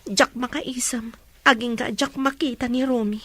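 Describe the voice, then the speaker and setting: A woman's voice: fairly high pitch, fairly even intonation, moderate pace, a bit bright. A woman with a Filipino accent playing a grieving, stubbornly hopeful young woman in a radio drama. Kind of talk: Ilocano radio drama acting.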